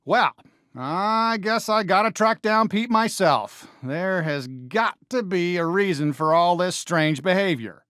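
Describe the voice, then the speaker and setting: Casting: friendly, excitable cartoon cowboy sheriff for a game, American, folksy drawl, bright and forceful, swooping pitch. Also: male voice, a little slow, no room sound, studio quality. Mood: earnest, friendly. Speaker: voice actor in a video game. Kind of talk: animated game character voice lines.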